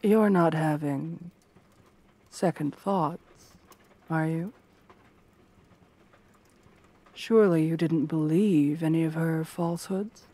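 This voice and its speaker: A woman playing a stern, scheming royal guard captain in a fantasy roleplay, formal, measured and commanding. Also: sits low, mellow. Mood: suspicious, satisfied. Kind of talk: scripted fantasy ASMR roleplay.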